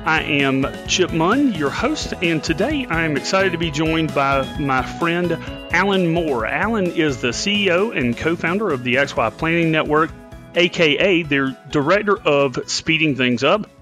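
A man hosting an interview podcast, friendly and measured, often restarting his phrases. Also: on the high side, expressive, over music. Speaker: podcast host.

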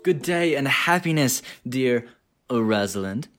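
A woman's voice: very deep voice, expressive, slow pace, over loud music, full character voice. A woman playing an earnest, lovesick young man, a devoted suitor in a Shakespeare radio comedy.

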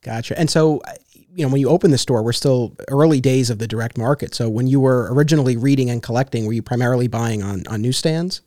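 A man's voice: mid-range voice, quick pace, mellow, no echo, clean studio sound. A man hosting a podcast, reading sponsor spots and then interviewing a guest in an attentive, friendly way. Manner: friendly, attentive, clear presenter. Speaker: podcast host. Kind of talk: read ads then casual interview.

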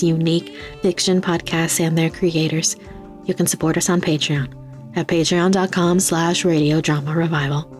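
Female announcer, lower register, flat even delivery, scripted outro read with faint music underneath. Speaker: podcast announcer reading credits.

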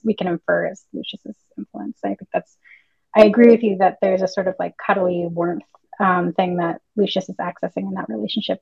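A woman's voice: mid-range voice, gravelly, slightly rough, no echo, muffled recording. A woman co-hosting a casual fan discussion podcast, thinking aloud in long, analytical, wordy stretches. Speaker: podcast co-host.

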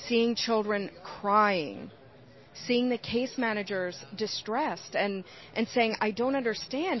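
A woman talks through her account in an earnest, explanatory way, like someone used to teaching adults. Her pitch sits in a mid range. The sound is a little dull and lo-fi. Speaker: interview guest, whistleblower. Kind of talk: earnest interview answers.

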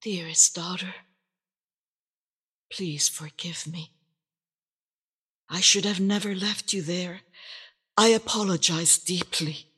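A woman voicing a sorrowful, loving mother who speaks softly and apologetically, close to a whisper. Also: fairly low pitch, steady tone, slow pace, pauses often, breathy edge, full character voice. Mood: remorseful.

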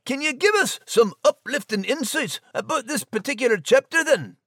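A folksy, kindhearted Scottish animal character in an audio drama. He is bumbling and very high-pitched, with swooping intonation and a dry, close recording. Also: expressive, normal speed, bright, forceful tone, dry recording, heavily acted. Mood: sheepish, friendly.

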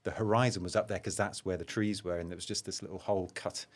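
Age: middle-aged. Sex: man. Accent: slight London. Pitch: very low. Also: steady tone, quick pace. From Zaire and England. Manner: anecdotal, self-deprecating, with frequent ums. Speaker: guest, vascular surgeon. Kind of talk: relaxed conversational storytelling.

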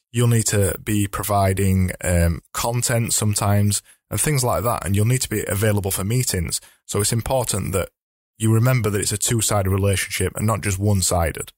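A man with a slightly low voice hosts a solo advice podcast, walking listeners through points in a chatty, explanatory way. His voice is a little hoarse from a coming cold. The sound is dry and close, with no room behind him. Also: no echo. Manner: chatty, explanatory, with frequent restarts. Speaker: podcast host.

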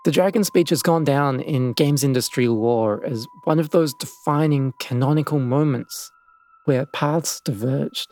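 A man hosting and narrating a scripted documentary in a measured, reflective way. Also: a little high, darkish tone. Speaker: podcast host and narrator.

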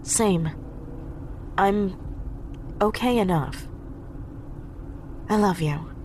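A woman's voice: medium pitch, extremely slow, a little mellow, a little hiss or hum. A woman playing a blunt, plain-spoken young activist leader in a naturalistic audio drama. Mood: tender, weary.

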